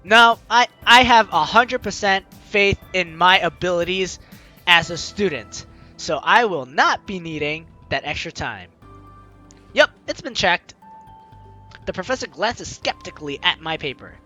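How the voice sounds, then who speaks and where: A man's voice: high voice, animated, measured pace, bright, forceful tone, faint music behind. A man hosting a gaming video, reading game narration aloud and throwing in jokey asides. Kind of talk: let's play commentary mixed with reading game narration aloud.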